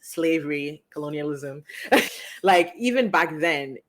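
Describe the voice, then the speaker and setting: A podcast host from Africa with a voice pitched right in the middle, neither high nor low. They chat casually with a guest and work through their thoughts aloud, often circling back and restarting phrases. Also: animated, unhurried. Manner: thoughtful, many restarts and fillers.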